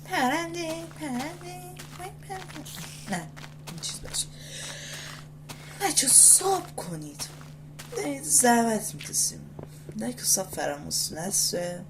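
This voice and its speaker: Animated Iranian gamer with loud background noise. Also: female voice, sits high, pauses often. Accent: Iranian Persian. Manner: rambling, repeats short phrases excitedly. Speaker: gaming video host. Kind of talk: casual gameplay commentary, talking to self and viewers.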